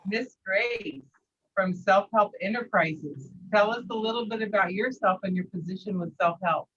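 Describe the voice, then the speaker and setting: Upbeat breathy woman moderator. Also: medium pitch, moderate pace, a bit bright. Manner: upbeat, brief thanks and prompts. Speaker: panel moderator. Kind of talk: hosting a panel. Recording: dull lo-fi sound.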